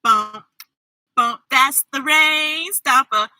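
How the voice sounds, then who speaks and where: A woman reading picture books aloud to children in an exuberant, playful way, with drawn-out words, sound effects and chatty asides. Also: high voice, pushed and bright, no echo. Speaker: children's storybook reader and host.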